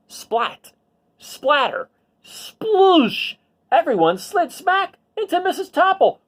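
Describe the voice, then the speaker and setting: A man reading a picture book aloud expressively, emphasizing key words and adding chatty asides to his listeners. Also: extremely high pitch.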